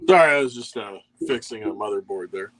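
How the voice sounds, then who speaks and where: A man's voice: lowish voice, lively intonation, brisk, a bit bright, slight background noise. A man chatting casually as a guest in a laid-back, rambling way. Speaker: show guest. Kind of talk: casual chat.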